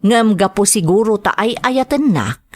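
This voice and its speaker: Caring mother role for an actress, radio drama: earnest, persuasive, mid-pitched, very lively intonation. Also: unhurried. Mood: sorrowful recounting. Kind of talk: Ilocano radio drama acting.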